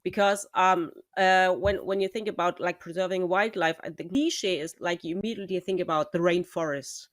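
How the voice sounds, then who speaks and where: A woman with a slightly low voice in a dry recording. She acts as the interviewer, posing questions in a conversational way and pausing often to find the right word. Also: fairly even intonation, dry recording. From Europe. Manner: halting, searching for words. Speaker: interviewer, moderator.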